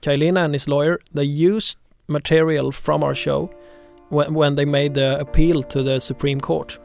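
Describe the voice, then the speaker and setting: A Swedish-accented man tells his story as a journalist being interviewed. His voice sits fairly high for a man and has plenty of creak, with faint music underneath. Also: little pitch movement, normal speed, a little mellow. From Sweden. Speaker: journalist and podcaster.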